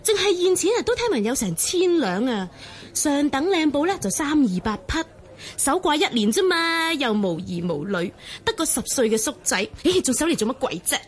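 A woman with a Hong Kong Cantonese accent playing a gossipy, flattering matchmaker in a radio drama, effusive as a saleswoman. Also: normal speed. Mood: eager pitching. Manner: effusive, sales-pitch sing-song. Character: gossipy, wheedling female matchmaker, talkative flatterer.

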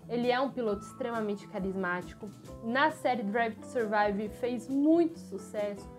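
High-voiced Brazilian woman, emphatic commentator. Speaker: motorsport channel host. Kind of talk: news commentary to camera. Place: small furnished room at home, indoors.

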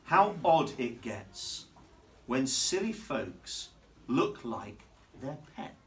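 A middle-aged headmaster reading a picture book aloud in a classroom, playfully asking the children questions and slowing down on the rhymes. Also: male voice, mid-range voice, big pitch swings. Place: primary school classroom, indoors. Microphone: camera across the room. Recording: distant camera, noisy classroom.

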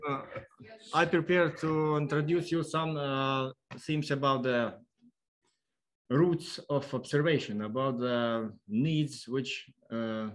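A Lithuanian man presenting his observatory's work on a video call, picking his way through a foreign language at an ordinary pitch. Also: a bit bright, poor audio. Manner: halting, non-fluent English. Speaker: observatory worker giving a lecture. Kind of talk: explanatory online lecture. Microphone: online video call.

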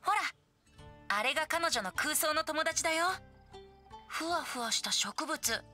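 Bright, high-voiced boyish bard. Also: female voice, expressive, a little slow, soft background music, full character voice. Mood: playful, musing. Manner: light, teasing, breezy. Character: carefree, playful young male bard. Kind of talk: Japanese anime game voice acting.

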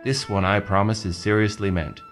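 An actor playing a glib, unfazed, showy aesthete in a radio story, with a pompous, airy manner. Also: male voice, fairly low pitch, fairly even intonation, brisk, lightly acted. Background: music.

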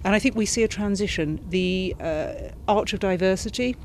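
A middle-aged British woman answering interview questions as an ambassador, in a measured, articulate way, seated indoors with a handheld mic. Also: mid-range voice, gravelly, darkish tone, a little hiss or hum. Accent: British English. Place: indoor reception room, seated on a sofa. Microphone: handheld interview mic held by interviewer.

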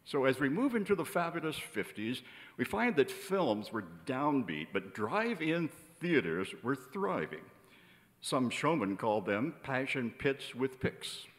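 A man in his seventies with an American accent, reading a prepared introduction as a stage announcer, measured and emphatic through his lists. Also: medium pitch, big pitch swings. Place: on stage in a large indoor theater. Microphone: vintage-style microphone on a stand. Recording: reverberant theater hall.

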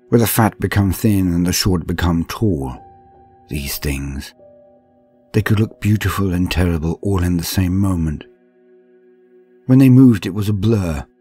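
A man voicing a weary, haunted captive laborer from a grim sci-fi future, in brooding, measured, dramatic horror-story narration. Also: a little mellow. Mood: haunted dread. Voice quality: low, husky. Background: music.

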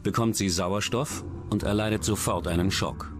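A man narrates a true-crime documentary from a script. His voice sits on the low side, and music plays clearly underneath it. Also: moderate pace.

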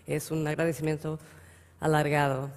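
A woman in her thirties from Portugal with a Portuguese accent, giving a halting conference talk in mixed Spanish and Portuguese with many fillers. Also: sits low, flat delivery. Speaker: panel speaker. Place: large hall on stage. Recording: long reverb in big hall.

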